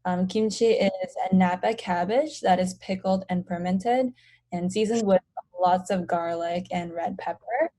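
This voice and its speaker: Mellow-voiced young woman explaining gently. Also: on the low side, measured pace. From United States. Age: young adult. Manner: soft-spoken, explains with many "um" and "like". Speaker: pharmacy student guest. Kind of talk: casual interview talk.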